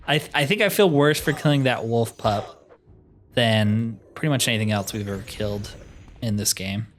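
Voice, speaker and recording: A man's voice: medium pitch, measured pace, pauses often, a little hiss or hum. A man giving casual, wry commentary as he plays through a video game, often with dry humor. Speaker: gaming video host.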